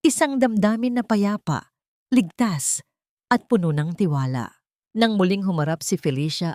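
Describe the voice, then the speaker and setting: Female narrator for romance audio drama: lower register, dry close sound, sentimental and measured. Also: darkish tone, no echo. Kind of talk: scripted dramatic story narration.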